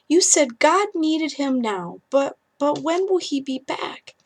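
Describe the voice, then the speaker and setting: A woman voicing a sleepy, worried little boy in a storybook, halting and repeating words in toddler grammar. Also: high voice, expressive, pitched above the performer's own voice, exaggerated voice. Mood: confused, upset. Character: sleepy, worried little boy, sad about his dog.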